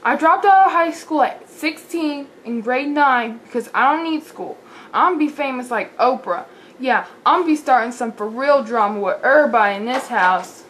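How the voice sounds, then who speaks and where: Woman doing a blunt party-girl doll, high voice, faint hiss. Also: expressive, normal speed, a bit bright, rough recording. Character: brash party-girl doll, early twenties.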